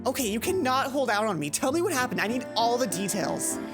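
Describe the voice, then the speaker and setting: A woman's voice: a little high, big pitch swings, brisk, music in the background, voice pushed up. Woman voicing a pushy teen girl roommate. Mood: pleading. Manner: prying and indignant.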